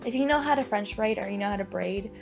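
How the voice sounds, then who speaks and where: A young woman talking through a hair tutorial in a casual, chatty way, her voice a little hoarse from losing it. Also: medium pitch, fast, music in the background. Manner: chatty, upbeat, many "like" fillers. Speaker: beauty vlogger. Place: bedroom.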